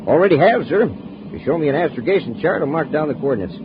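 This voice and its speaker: A man's voice: on the high side, expressive, fast talker, natural voice. A man playing a meek, earnest and deferential math prodigy in a 1950s radio adventure. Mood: thoughtful. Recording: dull, noisy 1950s radio recording.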